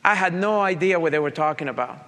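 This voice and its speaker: A man with a Colombian accent and a slight Italian touch speaks earnestly to an audience. He tells a personal story in a fairly high voice. The sound carries a long hall reverb. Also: lively intonation, fast talker, a bit bright. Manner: earnest, direct, addresses audience. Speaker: motivational speaker. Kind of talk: motivational talk with personal story.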